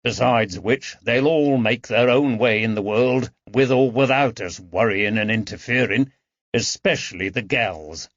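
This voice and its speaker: A man's voice: mid-range voice, a little slow, no room sound, natural voice. A man voicing a good-natured, plain-spoken working-class father with homely, colloquial speech in an audiobook. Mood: reassuring. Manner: colloquial, homely, unschooled grammar. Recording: dull lo-fi recording.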